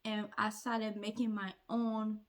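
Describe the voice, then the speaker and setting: A woman's voice: mid-range voice, flat delivery, takes it slowly. A woman vlogger telling a personal story to camera in an earnest, emphatic way, repeating phrases to drive points home. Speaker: hair-care vlogger.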